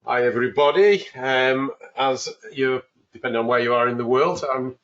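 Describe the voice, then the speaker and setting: A man's voice: mid-range voice, normal speed, fairly bright tone. A man with a northern English accent introducing a webinar as its host, chatty and a little hesitant in his phrasing. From north of England. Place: office. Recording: dull lo-fi webinar audio.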